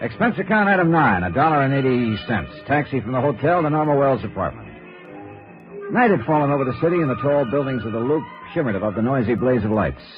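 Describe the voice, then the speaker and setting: An actor playing a tough, wry insurance investigator in 1950s radio noir, clipped and dry. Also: male voice, medium pitch, sing-song, fast talker, close to an everyday voice. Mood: hard-boiled narration. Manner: clipped, hard-boiled, dry. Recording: dull vintage radio recording, faint music.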